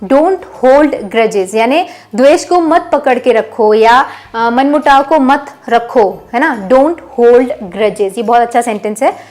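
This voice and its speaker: A woman with a high voice teaches English phrases. She explains each one in a patient, steady way, then says the example sentence slowly and deliberately so learners can repeat it. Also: soft background music. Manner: slow, drawn-out model sentences for learners. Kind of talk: bilingual language lesson.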